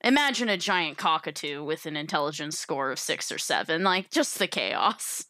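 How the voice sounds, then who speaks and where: A woman's voice: low-pitched, expressive, moderate pace, sharp tone, no echo, clean studio sound. A woman chatting casually and emphatically as a guest on a tabletop gaming podcast.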